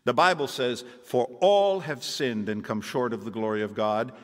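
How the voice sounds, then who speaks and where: A man's voice: medium pitch, normal speed, long reverb tail, close to an everyday voice. An actor playing an earnest, preachy reformed man turned missionary, telling his testimony in a radio drama. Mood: earnest, exhorting.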